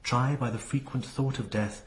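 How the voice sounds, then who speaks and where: An actor playing an earnest, brooding young nobleman in a radio drama, solemn and halting in manner. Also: mid-range voice, steady tone, slight background noise, natural voice. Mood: solemn reading.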